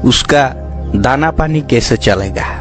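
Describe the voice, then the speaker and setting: A man's voice: medium pitch, expressive, takes it slowly. A man narrating a scripted moral story for children, with music playing behind him.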